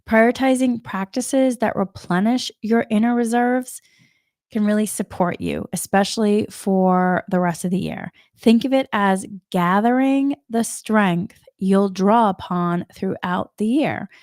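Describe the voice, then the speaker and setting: A woman from Massachusetts with a slight Boston accent, giving a gentle, rambling spiritual talk on a live stream, often repeating herself. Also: medium pitch, no echo, clean studio sound. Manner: repetitive, gentle, rambling with many restarts. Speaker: spiritual energy forecaster. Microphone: live stream microphone.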